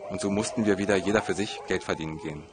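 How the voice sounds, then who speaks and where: Fast-talking low-voiced male narrator. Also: noisy background. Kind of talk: scripted autobiographical narration.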